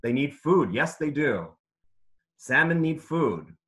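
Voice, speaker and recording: A man's voice: fairly high pitch, big pitch swings, takes it slowly, fairly bright tone. A man reading aloud to children as a teacher would, in simple phrases, putting questions to his listeners. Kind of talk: interactive children's read-aloud lesson.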